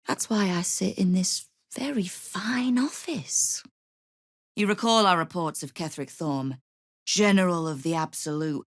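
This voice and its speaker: A woman's voice: lowish voice, no echo, clean studio sound. An actress playing a shrewd, tough female crime boss in a video game, speaking with wry, controlled menace. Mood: cool, wry. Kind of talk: video game character dialogue.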